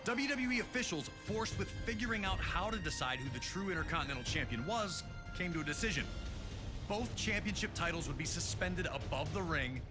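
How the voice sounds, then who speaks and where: A man's voice: high voice, lively intonation, fairly quick. A man giving scripted, dramatic, documentary-style narration of sports history as the host of a showcase. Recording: music under the voice. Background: music.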